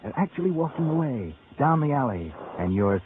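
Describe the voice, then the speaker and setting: A man's voice: medium pitch, big pitch swings, fairly quick, background noise, lightly acted. A man playing a sinister, taunting narrator in a 1940s radio suspense drama, speaking insinuatingly and urgently to a fugitive. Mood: mocking, smug. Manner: insinuating, urgent second-person address. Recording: tinny old radio, loud music behind.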